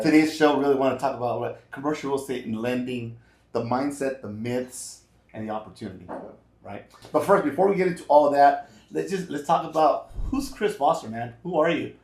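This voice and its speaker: An energetic man hosts a casual business chat. His talk is loose, full of "man" and "right?". His voice sits at a middle pitch and carries the echo of a roomy space. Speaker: podcast host.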